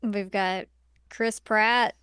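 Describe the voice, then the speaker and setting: A woman's voice: mid-range voice, fairly even intonation, very slow, fairly bright tone, clear, resonant tone, no echo, studio quality. A woman co-hosting a podcast in casual film-review chat, talking conversationally and peppering sentences with "like". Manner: conversational, filler-heavy with frequent "like". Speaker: podcast co-host.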